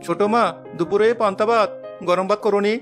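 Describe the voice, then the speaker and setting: A woman's voice: medium pitch, fairly even intonation, fairly quick, close to an everyday voice. A woman voicing a sad, humble young village boy in a children's fairy-tale cartoon, earnest and pleading. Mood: meek, pleading. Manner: plaintive, pleading. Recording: music under the voice.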